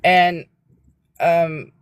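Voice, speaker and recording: Low-voiced, earnest lecturing woman. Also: steady tone, very slow, a loud crowd. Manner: earnest, lecturing, searching for words. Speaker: livestream guest. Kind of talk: casual livestream chat.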